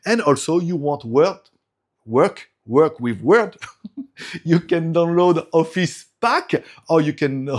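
A man from France with a strong French accent, native French, speaking English in a loose, broken way. His voice sits a little high for a man, and he chuckles between phrases while reviewing tech gear. He sounds like a friendly home vlogger. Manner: lively, laughs often, broken English. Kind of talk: casual product review.

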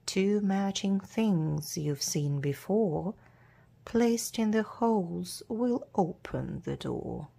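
A woman reading a children's adventure storybook aloud as a narrator, in a measured, deliberate way with hushed word endings. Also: medium pitch, lively intonation, vocal fry, slightly rough. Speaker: storybook reader.

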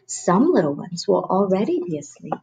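A woman voicing a gentle, patient mother polar bear in a storybook, soft, soothing and unhurried. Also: lowish voice, big pitch swings, gravelly, husky, heavily acted, poor audio. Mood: tender.

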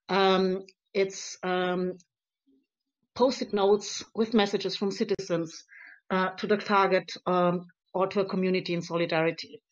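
Earnest woman presenting slides on a video call. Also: medium pitch. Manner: many ums and uhs, earnest and encouraging. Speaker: conference speaker on activism. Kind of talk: presenting slides in a webinar talk. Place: home room on a video call. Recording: slightly dull lo-fi video call audio.

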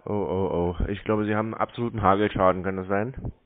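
A man on a phone call, playing a slow customer, obtuse, grumbling and argumentative. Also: lowish voice, fairly even intonation. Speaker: scambaiter posing as a victim on a phone call.

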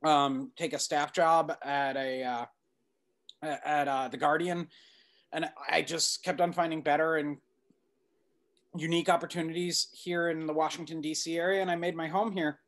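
Male, middle-aged, slightly high voice, film director guest recounting his project on a video call. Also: level tone, moderate pace, a bit bright. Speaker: documentary director, interview guest. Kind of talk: conversational interview answers, storytelling. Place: indoor room at home, video call.

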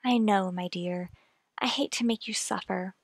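A woman playing a gentle, concerned character in an old-time radio play, soothing and earnest. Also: mid-range voice, moderate pace, no echo, lightly acted. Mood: troubled, earnest.

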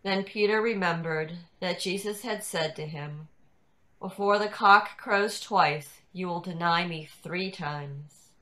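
An older woman reading scripture narration in a measured, deliberate way over a video call. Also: lowish voice, bright, forceful tone. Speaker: narrator in a church dramatic reading. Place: indoors at home on a video call. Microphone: video call computer microphone.

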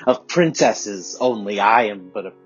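A man's voice: lowish voice, lively intonation, a little slow, soft background music. Man playing a humble shepherd, dull lo-fi audio. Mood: bashful, stammering. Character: humble, bashful young shepherd smitten with a princess. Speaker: actor in an audio drama. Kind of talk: audio drama acting in archaic storybook English.

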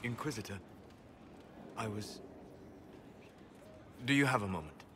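A man playing a calm, reserved, scholarly elf mage in a video game, speaking formally in deliberate, measured phrases. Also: lowish voice, background noise. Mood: earnest, thoughtful. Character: calm, scholarly, reserved elven mage, admiring yet condescending. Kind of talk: video game dialogue acting.

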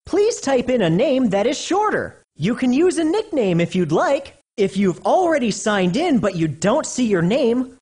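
An upbeat young male rabbit from a children's cartoon game. The voice is high and husky, swooping wildly in pitch. He takes his time and sounds bouncy and encouraging, as if guiding small kids. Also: exaggerated voice. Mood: encouraging, urging. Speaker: children's game guide character. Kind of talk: animated educational game voice acting.

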